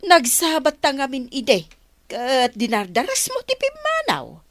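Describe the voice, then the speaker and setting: An actress with a Filipino accent playing a chatty, nosy older aunt in a radio drama. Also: female voice, high voice, huge pitch swings, measured pace, no echo, exaggerated voice. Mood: surprised, prying.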